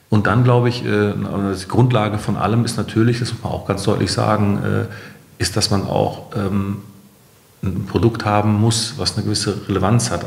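A man's voice: on the low side, gravelly, a little mellow. A middle-aged man answering interview questions as a company founder, searching for his phrasing and correcting himself. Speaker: company founder, podcast guest. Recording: roomy, echoey interview room.

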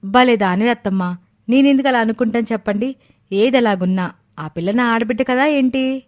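A woman's voice: on the high side, brisk, a little mellow, close to an everyday voice. A woman voicing a dutiful, outspoken young daughter-in-law in a family story, earnest and insistent. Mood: reassuring, concerned.

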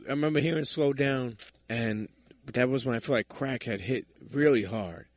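A man's voice: medium pitch, moderate pace. A man hosting a podcast, interviewing in a casual, slangy way. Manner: slangy, informal.

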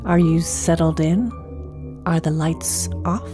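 A woman's voice: low voice, slow, over music. A woman hosting an audio drama podcast, reading a scripted introduction. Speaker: podcast host.

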